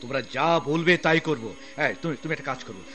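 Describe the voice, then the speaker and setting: A blustering general manager in a radio play. His voice is bright and a little high. He barks orders and is easily swayed. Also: fairly quick, soft background music. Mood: angry, commanding. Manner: blustering, commanding. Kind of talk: broadcast radio drama acting.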